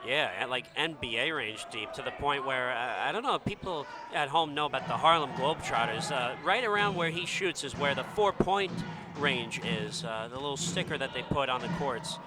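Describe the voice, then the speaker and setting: Halting male color commentator in noisy gym. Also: mid-range voice, expressive. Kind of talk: conversational live sports commentary. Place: indoor basketball gym during game. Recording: noisy gym with bouncing balls.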